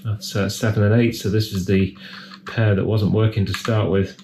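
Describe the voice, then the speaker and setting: A man's voice: fairly low pitch, fairly even intonation, moderate pace, gravelly, background noise. A man giving casual, hands-on repair commentary as a technician, thinking aloud in a tentative way. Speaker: electronics repair technician presenting a repair.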